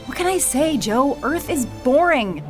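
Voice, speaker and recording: Stubborn, very high-voiced little soul. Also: female voice, lively intonation, unhurried, music in the background. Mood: bored. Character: cynical, stubborn, troublesome young female unborn soul.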